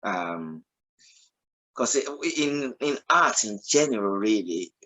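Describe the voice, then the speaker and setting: A man's voice: on the low side, expressive, slow, sharp tone, poor audio. A male radio host asks long, looping questions packed with ums and you-knows. He keeps encouraging his guest. His tone stays casual and chatty. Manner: encouraging, with rambling questions full of fillers. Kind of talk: casual interview chat.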